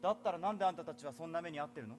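An actor playing a cocky, daring young undercover lawyer on stage, boyish, self-assured and dramatic. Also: male voice, on the high side, racing, a little hiss or hum, lightly acted. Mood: resolute. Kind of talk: theatrical stage acting. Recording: theatre stage recording with music.

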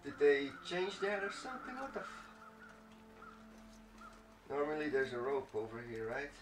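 A man's voice: fairly high pitch, big pitch swings, normal speed, over music. A man giving casual let's play commentary on a game, swearing and muttering as he reacts. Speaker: gaming video host. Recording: roomy, distant mic, clear background noise.

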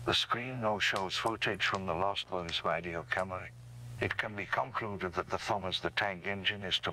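A man's voice: low voice, bright, forceful tone. A man playing a grave, formal newsreader delivering a scripted analog horror bulletin. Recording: humming, noisy faux old broadcast. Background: faint hum and noise.

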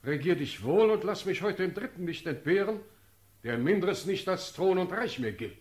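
An actor playing a fatherly, authoritative ruler in a classical radio drama, with measured declamation. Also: high-pitched, animated. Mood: commanding. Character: stately, fatherly sovereign prince commanding his army. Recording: echoey, reverberant room.

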